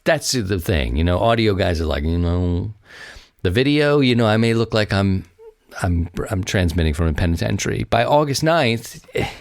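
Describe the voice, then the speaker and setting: A man with a low, deep voice talks on his own as a podcast host. His delivery is casual and conversational, rambling along as he speaks straight to his listeners. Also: no echo, studio quality. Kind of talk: casual solo podcast talk.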